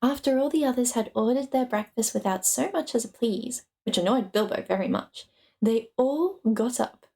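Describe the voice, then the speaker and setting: A woman's voice: fairly high pitch, moderate pace, darkish tone. A woman streamer narrating a story aloud in a dramatic reading.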